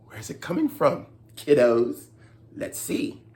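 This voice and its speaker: A woman telling a story to young children, expressive, with playful asides and exclamations. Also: low voice, a little hiss or hum.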